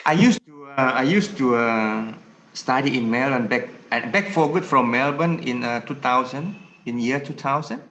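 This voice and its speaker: A man's voice: medium pitch, fairly bright tone. Hesitant Indonesian man, faint background hiss. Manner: hesitant, halting English with many restarts. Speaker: student trader. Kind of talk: casual mentoring call.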